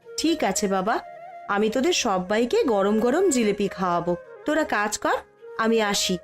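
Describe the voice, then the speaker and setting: A woman's voice: medium pitch, expressive, normal speed, faint music behind, close to an everyday voice. A woman playing a poor, affectionate village mother in a cartoon story, with a cautioning, motherly manner. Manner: warning, motherly. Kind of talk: Bengali cartoon story voice acting.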